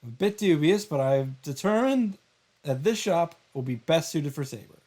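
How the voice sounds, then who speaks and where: A man reading visual novel text aloud and adding his own animated reactions and summary commentary. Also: fairly high pitch, normal speed. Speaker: visual novel streamer and narrator.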